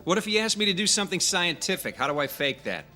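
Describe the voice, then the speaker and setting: Bright-voiced wisecracking male slacker reporter. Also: high voice, expressive, quick pace, soft background music, heavily acted. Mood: incredulous, grumbling. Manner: sarcastic, grumbling. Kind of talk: radio drama dialogue.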